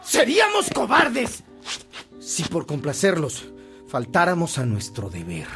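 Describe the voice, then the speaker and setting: An actor playing a devout, resolute young seminarian in a radio drama, earnest and dutiful. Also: male voice, a little high, wildly swooping pitch, measured pace, gravelly, a bit bright, shouting, soft background music, a faint crowd, heavily acted. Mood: earnest resolve.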